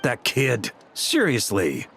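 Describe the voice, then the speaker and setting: An actor playing a seasoned, world-weary knight commander with a wry, firm manner in a video game. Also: male voice, medium pitch, wildly swooping pitch, slow pace, creaky, a bit bright, slight background noise, full character voice. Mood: exasperated.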